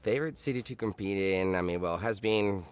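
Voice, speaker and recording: A man in his thirties from Costa Rica, a monster truck driver, talking enthusiastically with small pauses in an interview, in a dark studio. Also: on the low side, smooth. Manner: enthusiastic, halting. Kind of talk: interview answer.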